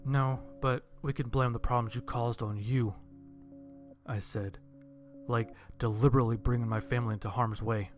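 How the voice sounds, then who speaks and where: A man's voice: medium pitch, fairly even intonation, moderate pace, soft background music. A man reading a scripted story as its narrator.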